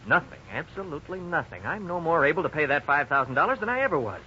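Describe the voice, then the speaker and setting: An actor playing a worried young husband, frank and resigned, in a 1950s radio drama. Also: male voice, on the high side, sing-song, fast talker, sharp tone, heavily acted. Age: young adult. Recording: dull, hissy 1950s radio recording.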